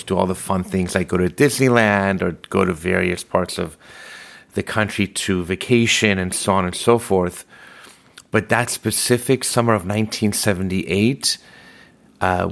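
A man in his fifties, born in Iran, telling his life story in a measured, reflective way during a podcast interview. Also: sits low, creaky. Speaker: podcast host being interviewed. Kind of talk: conversational interview.

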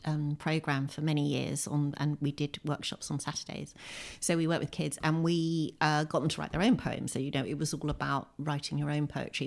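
A middle-aged woman with an English accent, talking informally and expansively in an interview, with animated emphasis. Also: deep voice. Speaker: poet and adult education teacher. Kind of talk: conversational interview. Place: in a home.

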